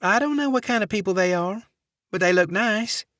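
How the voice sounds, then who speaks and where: A woman's voice: medium pitch, animated, moderate pace, a bit bright, no echo, clean studio sound, voice pushed up, lightly acted. A man voicing a saccharine Southern receptionist woman in her fifties in an audiobook. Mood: sugary. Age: 50s. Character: sweet chatty middle-aged Southern receptionist woman.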